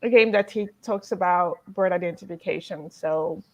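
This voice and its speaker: A woman, a game designer and educator, chatting on a video-call panel with hesitant pauses and fillers. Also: on the low side. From Nigeria. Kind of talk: casual panel chat. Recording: dull lo-fi sound.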